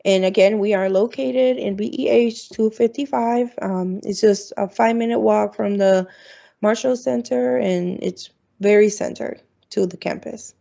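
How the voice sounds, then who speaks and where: Gravelly woman on a muffled video call. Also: medium pitch, steady tone, unhurried, mellow. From Florida, United States. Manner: chatty, lots of ums. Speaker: international student advisor. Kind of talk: presenting at an online orientation. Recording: dull, lo-fi video call audio.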